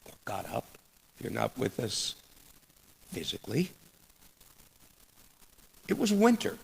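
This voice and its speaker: Slow gravelly male preacher in echoing hall. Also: medium pitch, huge pitch swings. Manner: deliberate, measured, with dramatic pauses. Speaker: preacher reading a sermon. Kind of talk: sermon with read quotations. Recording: long echoing hall reverb.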